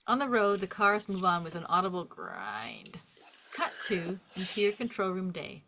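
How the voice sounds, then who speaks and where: A woman narrating the stage directions at a script table read in an expressive storytelling manner. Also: lowish voice, moderate pace, creaky. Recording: faint background noise and clicks.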